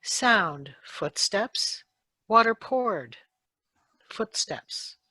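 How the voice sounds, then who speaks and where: A woman reading out the sound effect cues in a live radio play, announcing each one flatly. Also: medium pitch, very slow, slightly rough, no echo.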